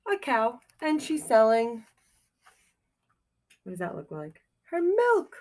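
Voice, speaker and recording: A woman reading a picture book aloud to young children like a teacher, expressive and encouraging, pausing to put questions to her listeners. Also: on the high side. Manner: expressive, encouraging, exclaims softly over pictures.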